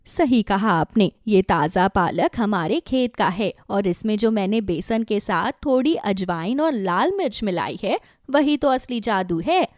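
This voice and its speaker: A woman's voice: medium pitch, lively intonation, unhurried, hardly any pauses, no echo, clean studio sound, natural voice. Proud, chatty village wife played by a woman. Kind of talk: Hindi children's cartoon voice acting.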